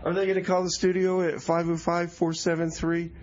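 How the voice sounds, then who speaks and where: A man's voice: a little high, level tone, brisk, darkish tone. A man hosting a radio show, mixing casual comedy banter with music presenting. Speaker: radio host. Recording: slightly dull, lo-fi radio sound.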